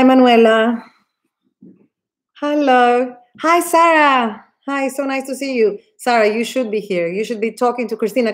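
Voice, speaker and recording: Gushing woman host. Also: on the high side. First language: Greek. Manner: effusive, enthusiastic, with frequent restarts. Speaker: host of a live online interview. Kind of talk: casual livestream interview.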